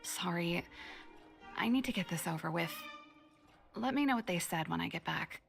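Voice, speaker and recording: An actress voicing a free-spirited adult woman engineer in a game, talking briskly and frankly with a gravelly edge. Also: fairly low pitch, expressive, faint music behind. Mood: impatient. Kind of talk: game voice acting.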